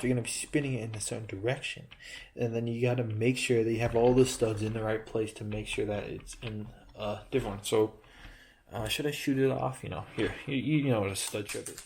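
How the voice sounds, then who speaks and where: A man's voice: medium pitch, darkish tone, a little hiss or hum. A man casually reviewing a toy building set he holds up to a camera, rambling, correcting himself and joking as he goes. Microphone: handheld camera close-up of toy.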